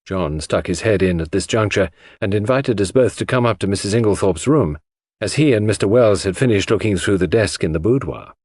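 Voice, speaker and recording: Male narrator for audiobook fiction, mid-pitched, widely varied intonation, very dry close sound. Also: lively intonation, brisk, darkish tone, no echo.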